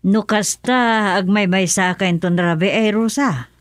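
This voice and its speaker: A woman's voice: medium pitch, measured pace, dry recording, lightly acted. A woman with an Ilocano accent playing a sensible, concerned older village mother in a radio drama. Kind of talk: radio drama acting, conversational.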